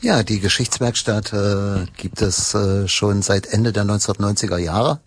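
Low-pitched middle-aged man, halting delivery. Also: steady tone, breathy edge, no room sound. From eastern Germany. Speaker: local historian, history workshop leader. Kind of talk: interview answers.

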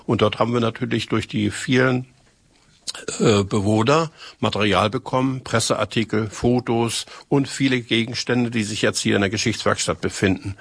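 An older man speaks in an interview at a medium pitch. His voice is rough and husky, and it is recorded very dry. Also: steady tone, moderate pace, no room sound. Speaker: housing company employee, chemist by training. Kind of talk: interview answers, recounting.

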